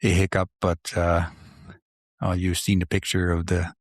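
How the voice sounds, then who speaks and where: A middle-aged man with a slight Dutch accent, hosting a casual podcast chat in a soft, mumbling, soothing voice. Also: sits low, monotone, measured pace, dry recording, studio quality. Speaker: podcast host.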